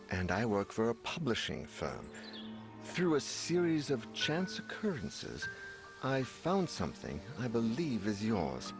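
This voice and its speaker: A man playing a haunted, weary investigator in a horror video game, speaking gravely and introspectively. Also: medium pitch, exaggerated voice. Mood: uneasy. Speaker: game protagonist and narrator. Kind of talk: dramatic video game dialogue and brooding narration. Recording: loud music under the voice.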